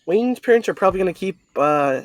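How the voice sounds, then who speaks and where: Male, notably high voice, dry close recording, casual roleplay gamer talk. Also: lively intonation, fast talker, no room sound. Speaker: tabletop roleplay player. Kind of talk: casual tabletop roleplay discussion.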